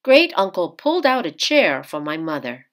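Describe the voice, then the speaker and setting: Woman, children's story read-aloud, lowish voice, very lively pitch, expressive storytelling. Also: moderate pace. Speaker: picture book reader.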